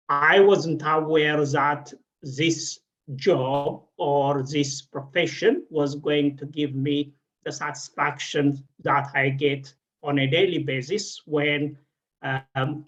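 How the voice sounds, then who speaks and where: A middle-aged man with an Ethiopian accent, a guest speaker at an online event, telling his personal story haltingly with frequent pauses. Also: a little high, flat delivery, a bit bright, rough recording. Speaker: regional director, guest speaker.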